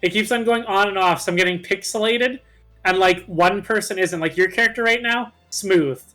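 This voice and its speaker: A man's voice: sits high, moderate pace, sharp tone. A man in casual, playfully insistent banter with friends as he co-commentates a video game. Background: game music.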